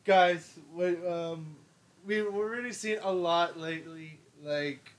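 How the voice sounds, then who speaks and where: A man hosting a casual live stream, talking in halting bursts and repeating his words. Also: fairly high pitch.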